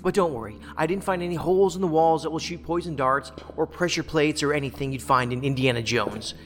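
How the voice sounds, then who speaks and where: A man's voice: a little high, fairly quick, natural voice. A man playing a sardonic, wordy, philosophical federal investigator in a science fiction audio drama. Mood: dry, musing. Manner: wry, wordy, lecturing. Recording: long hall reverb, music underneath.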